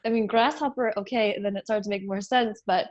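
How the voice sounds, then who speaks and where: A woman's voice: mid-range voice, a little fast, muffled recording. A woman co-hosting a casual podcast chat, chatty and self-deprecating. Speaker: podcast co-host.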